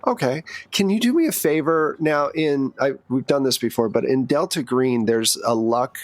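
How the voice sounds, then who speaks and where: A man's voice: medium pitch, normal speed, no room sound. Casual man running a tabletop game. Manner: conversational, loosely improvised. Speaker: game master.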